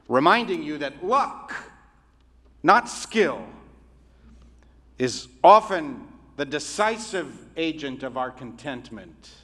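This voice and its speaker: A rabbi giving a sermon in a measured, oratorical way, with deliberate pauses. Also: male voice, on the high side, a bit bright. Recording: reverberant hall.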